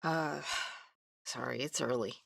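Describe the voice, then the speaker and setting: A middle-aged woman with a light Midwestern accent talks her way through a crafting tutorial, her voice low and her pace unhurried. She rambles, trails off and picks her thread back up as she works with her hands. The sound is close and dry, with no room behind her. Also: creaky, husky, no room sound. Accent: Midwestern American. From American Midwest. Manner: chatty, rambling, trails off mid-sentence. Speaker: craft instructor.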